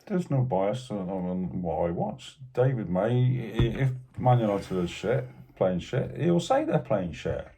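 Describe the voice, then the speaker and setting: Husky Scottish man, lively pitch. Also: unhurried, hardly any pauses. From Scotland. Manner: blunt, teasing, opinionated. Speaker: podcast co-host. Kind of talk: casual football chat.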